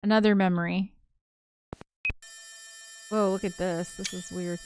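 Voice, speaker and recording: A woman's voice: fairly low pitch, little pitch movement, a little slow, darkish tone. A woman streaming a visual novel, reading it aloud in a casual voice and chatting with informal, giggly asides. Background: game soundtrack music.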